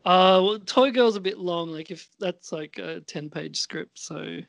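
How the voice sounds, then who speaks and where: Slow, halting man in casual chat. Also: sits high, vocal fry, fairly bright tone, no room sound. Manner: hesitant, halting. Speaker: amateur writer and podcast performer.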